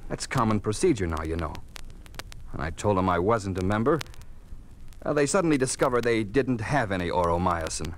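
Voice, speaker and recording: A man's voice: on the low side, lively intonation, fairly quick, slight background noise, close to an everyday voice, rough recording. An American man playing a sullen, weary sick patient in a 1950s radio drama, on an old recording. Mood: bitter.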